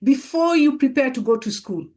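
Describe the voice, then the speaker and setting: A woman from East Africa with an East African accent, telling her own story as an interview guest in a halting, deliberate way, repeating phrases for emphasis. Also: high voice, animated, warm tone. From East Africa, Uganda and Kenya. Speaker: podcast guest, coach and mentor.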